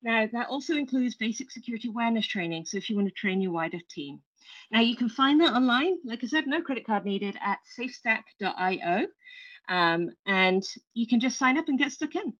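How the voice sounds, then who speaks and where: A woman's voice: a little high, expressive, a little fast, a bit bright, highs cut off. A woman from England with an English accent, a tech entrepreneur answering interview questions in an upbeat, earnest way. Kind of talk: casual interview talk.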